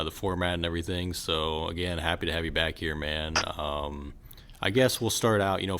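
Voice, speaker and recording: A man hosting an interview podcast, talking casually as he introduces and questions a guest. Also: low voice.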